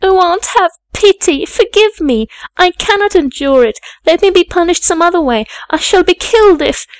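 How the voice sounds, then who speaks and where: A woman's voice: very high voice, lively intonation, normal speed, dry recording, pitched above the performer's own voice. A woman voicing a terrified, passionate young girl who pleads desperately in an audiobook story. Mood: desperate pleading. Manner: breathless exclamations, desperate begging.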